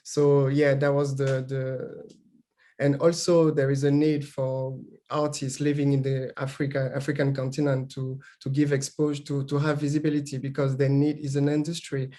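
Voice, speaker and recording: A man's voice: mid-range voice, level tone, normal speed, warm tone. A man from Gabon, a native French speaker, speaking English on a panel with many hesitations and restarts. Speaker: panel guest, founder of an art platform. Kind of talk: panel discussion.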